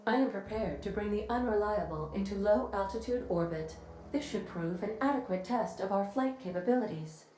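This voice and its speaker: A precise, unhurried female ship's computer from a space game, played by a woman. The voice is soft-edged and mellow, formal and matter-of-fact, ringing in a roomy space with faint ambient noise. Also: medium pitch, lively intonation, lightly acted. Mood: calm, formal. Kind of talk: scripted sci-fi game voice acting.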